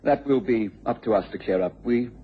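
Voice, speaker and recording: An actor playing a smooth-talking, scheming scientist's assistant in a radio mystery, speaking in a polite, formal way. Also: male voice, mid-range voice, a little fast, lightly acted. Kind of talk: radio mystery drama acting. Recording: muffled radio recording with background noise.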